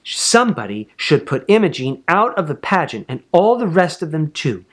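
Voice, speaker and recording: A man's voice: on the high side, big pitch swings, normal speed, pitched above the performer's own voice. A man voicing a sulky, self-important, indignant schoolgirl in a storybook, with petulant delivery.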